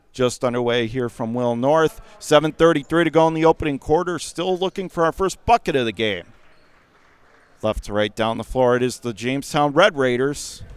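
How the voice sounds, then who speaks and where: A man calls a basketball game play by play for radio, his voice smooth and resonant and pitched a little high. He talks quickly and surges to shouts when the action heats up, with a ball bouncing somewhere behind him. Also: no room sound. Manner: rapid, rising to shouts on big plays.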